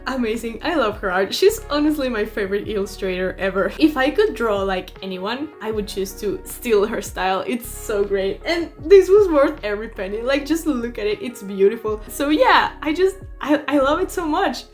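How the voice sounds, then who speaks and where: A fairly high-voiced young woman chats and giggles through a casual vlog, tripping over restarts as she goes. Her voice bounces around an echoey room, with soft music playing underneath. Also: lively intonation, normal speed, few pauses. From Mexico. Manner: chatty and giggly, with many restarts. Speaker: vlogger. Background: faint background music.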